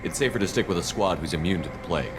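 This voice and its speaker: An actor playing a confident, steady military commander in a video game, direct and resolute. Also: male voice, sits low, racing, music in the background.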